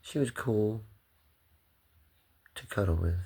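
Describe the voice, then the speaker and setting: A man's voice: lowish voice, slow pace, gravelly, darkish tone, slightly rough. A man talking to the camera in a rambling, swearing monologue that jumps between topics.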